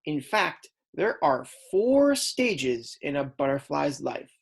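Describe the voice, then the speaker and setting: A woman reading aloud as a kindergarten teacher, in a deliberate, instructional way that stresses key words. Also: low voice, very animated, vocal fry, slightly rough. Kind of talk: read-aloud lesson for young children.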